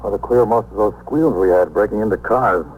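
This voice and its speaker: A man acts a practical police detective in an old radio crime serial. His voice is fairly low, with a businesslike, plain-spoken delivery. The 1950s recording is narrow as a phone line and somewhat noisy. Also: a little fast, close to an everyday voice. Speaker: actor in a radio police drama.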